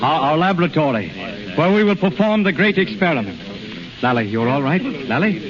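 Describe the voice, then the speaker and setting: A man's voice: on the high side, big pitch swings, brisk, a crowd in the background. An actor in a 1930s radio drama playing a proud, tormented healer, urgent and commanding, often repeating his words. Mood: agitated showmanship. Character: intense, proud, tormented male self-taught healer. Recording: noisy, dull 1930s radio recording.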